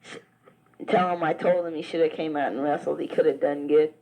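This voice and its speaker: A man's voice: on the high side, steady tone, brisk, creaky, darkish tone, low-quality recording. Rambling teenage boy with a Southern accent. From Virginia, US. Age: teens. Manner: rambling, chatty, full of "uh" and restarts. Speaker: high school wrestler. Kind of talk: casual spoken letter to a friend.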